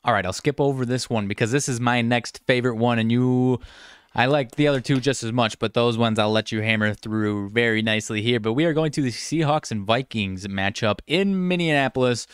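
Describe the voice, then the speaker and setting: A man's voice: medium pitch, fairly quick, smooth, no room sound, studio quality. Rambling man talking football picks. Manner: rambling, stumbles and self-corrects. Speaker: sports betting co-host. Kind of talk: casual betting picks chat.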